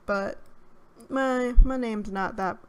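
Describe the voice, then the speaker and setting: Shy young woman gamer, soft voice, kinda high, talks slow and rambly. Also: clean tone. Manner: rambling, hesitant with many fillers. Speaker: gaming YouTuber. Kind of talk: casual let's play commentary.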